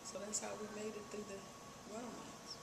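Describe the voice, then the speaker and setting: A woman's voice: medium pitch, flat delivery, brisk. Older Southern woman in an echoey, noisy room. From Virginia, United States. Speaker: oral history interviewee. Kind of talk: personal reminiscence. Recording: echoey room with clear background noise.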